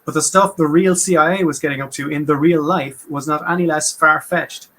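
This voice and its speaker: A man with a Munster Irish accent explains things in a quick, chatty, wry way. His voice sits a little high and sounds bright and forceful. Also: fairly even intonation. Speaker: podcast host. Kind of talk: casual explanatory chat.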